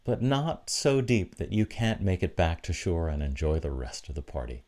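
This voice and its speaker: Guy with a low voice doing a thoughtful church sermon, deliberate and encouraging. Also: big pitch swings, darkish tone. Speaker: preacher giving an online church sermon. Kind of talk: reflective sermon.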